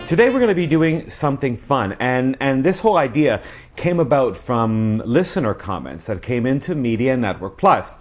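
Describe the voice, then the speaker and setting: A man's voice: mid-range voice, big pitch swings, normal speed, soft background music. A man in his forties hosting a casual review to camera in a chatty, emphatic presenter style. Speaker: host of a radio review show. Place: small living room, indoors. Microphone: lapel mic.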